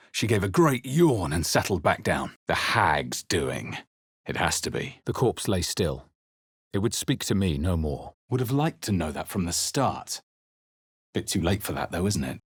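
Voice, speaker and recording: A man playing a grave, introspective adventurer hero in a fantasy video game, speaking in a measured, dramatic way. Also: sits low, breathy edge, no echo, clean studio sound, full character voice. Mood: grim, wary, then dry, unimpressed. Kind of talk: scripted video game narration and character lines.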